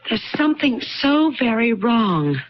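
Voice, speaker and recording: An actress playing an anxious, insistent young wife in a radio drama. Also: female voice, on the high side, very animated, unhurried, slight background noise. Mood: anxious, pleading. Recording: dull vintage radio drama recording.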